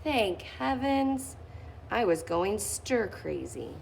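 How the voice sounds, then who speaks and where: A woman's voice: medium pitch, sing-song, slow pace, vocal fry, darkish tone, a little hiss or hum. A woman reading a children's picture book aloud, lifting her voice expressively on key phrases. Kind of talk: read-aloud storytelling.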